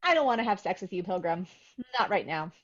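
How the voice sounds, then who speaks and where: A woman's voice: on the low side, sing-song, brisk. A woman reading the part of a guarded, cool young woman in a screenplay table read, with a dry, understated delivery. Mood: cool, frank. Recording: dull, lo-fi voice recording.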